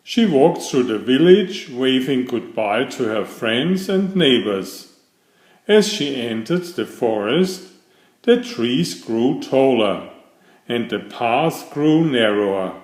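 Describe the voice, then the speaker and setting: Slow, expressive male storyteller in echoey room. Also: medium pitch. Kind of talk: read-aloud fairytale narration.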